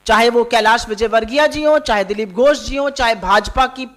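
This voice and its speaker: A woman's voice: on the high side, moderate pace, fairly bright tone. A woman spokesperson making an emphatic, accusatory press conference statement, pressing her points with rhetorical questions. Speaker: political party spokesperson.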